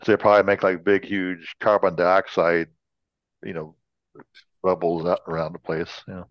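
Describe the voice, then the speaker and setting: A man's voice: deep voice, little pitch movement, moderate pace, no room sound, dull audio. A man co-hosting a casual podcast, bantering and cracking jokes as he chats. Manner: jokey. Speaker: podcast co-host.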